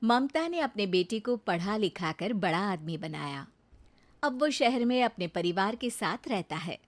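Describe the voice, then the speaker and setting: A woman narrating a cartoon moral story in an unhurried, expressive way. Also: medium pitch.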